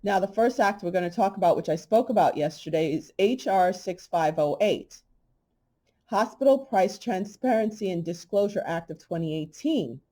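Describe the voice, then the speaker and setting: A middle-aged woman hosting a holistic health talk, speaking bluntly and emphatically, with a slightly hoarse voice. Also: mid-range voice, expressive, moderate pace, mellow. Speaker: holistic health channel host. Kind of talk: casual monologue to camera.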